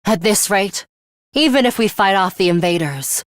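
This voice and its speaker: An actress playing a stern, cool-headed young woman fighter in a video game, with a blunt, measured and analytical way of talking. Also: medium pitch, lively intonation, no echo, studio quality, close to an everyday voice. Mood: firm, serious. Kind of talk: dramatic English video game voice acting.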